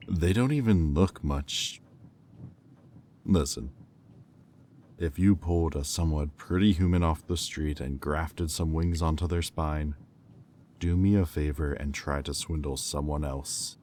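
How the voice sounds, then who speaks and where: Very deep, sardonic demon played by a man. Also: darkish tone, slight background noise. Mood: amused disbelief, then skeptical, menacing. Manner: sardonic, condescending, unhurried with deliberate pauses. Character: haughty, sardonic male demon bidder at auction. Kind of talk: audio roleplay acting.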